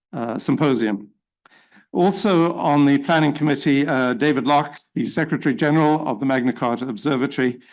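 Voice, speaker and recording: A middle-aged South African man with a South African accent chairing a symposium, giving a formal welcome speech in a measured way with frequent fillers. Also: medium pitch.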